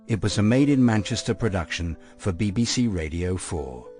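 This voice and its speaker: A man's voice: sits low, animated, moderate pace, darkish tone. A man reading scripted narration and credits for a radio drama. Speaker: narrator of prologue and epilogue. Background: music.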